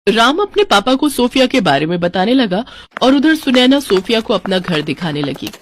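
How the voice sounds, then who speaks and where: A woman's voice: a little high, very animated, moderate pace, slight background noise. A woman with an Indian accent reading scripted narration for a moral story.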